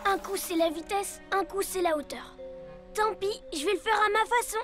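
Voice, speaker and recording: A performer voicing a plucky, easily indignant six-year-old superhero boy in a children's cartoon, excitable and earnest. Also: extremely high pitch, normal speed. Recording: loud music behind the voice.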